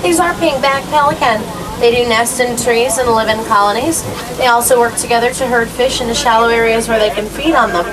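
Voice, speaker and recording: A woman's voice: brisk, a crowd in the background. A woman with an American accent, working as a tour guide and narrating animal facts from a script on a safari ride. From United States. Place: moving open safari vehicle outdoors. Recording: very noisy, distant vehicle loudspeaker. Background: loud vehicle rumble and ambience.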